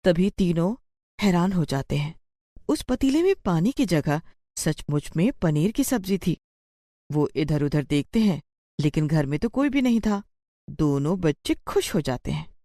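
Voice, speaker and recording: Female storyteller for bedtime moral tales, animated mid-range voice, dry studio-like sound. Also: lowish voice, unhurried, no echo. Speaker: narrator. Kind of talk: scripted children's story narration.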